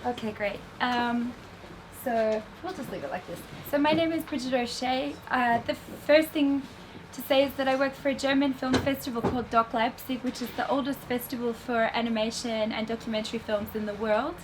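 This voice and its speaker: A woman with an Australian accent giving an explanatory festival talk to an audience, pausing often with ums. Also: a little high, a little hiss or hum. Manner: explanatory, with frequent ums and restarts. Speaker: film festival industry program head. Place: festival venue before an audience.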